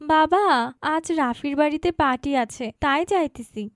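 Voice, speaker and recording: A woman's voice: high-pitched, normal speed, dry recording, studio quality, close to an everyday voice. A woman voicing a headstrong, argumentative eighteen-year-old daughter in a cartoon. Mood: breezy defiance. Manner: argumentative, insistent. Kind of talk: cartoon dialogue acting.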